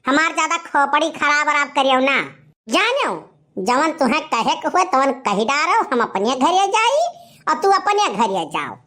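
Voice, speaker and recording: A woman's voice: sits high, sing-song, moderate pace, sharp tone, heavily acted. A woman playing a sassy, impatient young village woman in a cartoon comedy, scolding brazenly with an Awadhi accent. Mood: irritated, threatening. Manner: scolding, brazen, repetitive catchphrases. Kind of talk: animated comedy dialogue.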